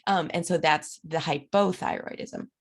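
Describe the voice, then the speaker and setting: A middle-aged woman teaches from her slides in an easy, conversational way, pausing on plenty of fillers. Her voice sits on the low side and is raspy and gravelly, dry from a recent illness. It sounds like a webcam recording made at home. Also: steady tone. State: recovering from illness. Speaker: instructor. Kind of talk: recorded lecture over slides.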